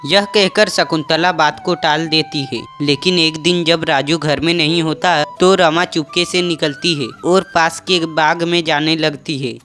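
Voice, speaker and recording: Steady cartoon story narrator. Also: mid-range voice, measured pace, hardly any pauses. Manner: steady, matter-of-fact telling. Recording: music bed under the voice.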